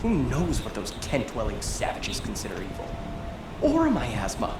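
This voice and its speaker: A man's voice: medium pitch, huge pitch swings, normal speed, creaky, echoey room, background noise. A young man playing a petulant, sheltered teenage noble in a video game, theatrical and haughty. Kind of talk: video game dialogue acting.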